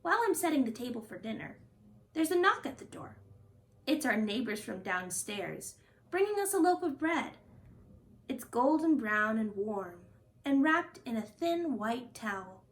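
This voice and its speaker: Animated, high-voiced woman reading a storybook. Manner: expressive, deliberate phrasing for young listeners. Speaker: storytime host and reader. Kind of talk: children's picture book read-aloud.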